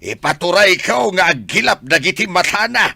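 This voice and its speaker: Cheeky, bright-voiced Filipino. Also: male voice, sits high, sing-song, moderate pace, creaky, slightly rough, dry recording, exaggerated voice. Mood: teasing. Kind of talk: radio drama acting.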